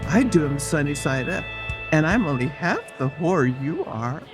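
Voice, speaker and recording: A woman's voice: deep voice, big pitch swings, normal speed, over music. A woman playing a sassy, gossipy brunette in a comic audiobook, giggly and teasing. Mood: gossipy, amused.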